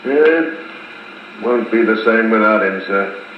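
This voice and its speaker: A man's voice: on the low side, animated, slow pace. An actor playing a plain-spoken, loyal soldier talking to his superior in a 1950s radio drama. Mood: worried, glum. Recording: tinny, echoey 1950s wire recording with hiss.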